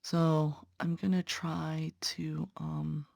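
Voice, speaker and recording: A woman's voice: sits low, flat delivery, drawn-out words, creaky, a little mellow, no room sound, clean studio sound. A young woman, a patient care tech and nursing student, chatting earnestly to viewers while stitching, sounding congested. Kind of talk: casual chat while stitching.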